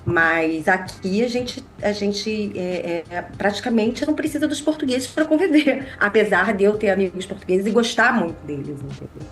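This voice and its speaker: A Brazilian woman talks casually as a podcast guest. Her medium-pitched voice is a little nasal, congested by a mild cold. Soft music is faintly audible behind her. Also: normal speed, hardly any pauses. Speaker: podcast guest, immigration lawyer. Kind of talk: casual chat.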